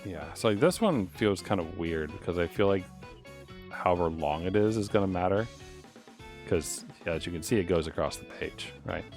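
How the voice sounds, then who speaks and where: A man's voice: sits low, expressive, moderate pace, a little mellow, faint music behind. A man streaming live as he codes, talking casually and unscripted, rambling as he thinks aloud. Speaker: live streamer coding a game.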